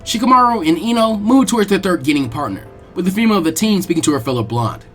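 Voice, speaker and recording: Higher-pitched man narrating a story. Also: very animated, fast talker, fairly bright tone. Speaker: fan fiction reader, narrator. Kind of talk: expressive scripted story narration. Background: faint music.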